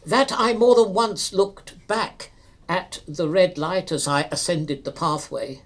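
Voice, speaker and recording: A man narrating a classic literary story aloud in a measured, formal and expressive dramatic reading style. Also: high voice.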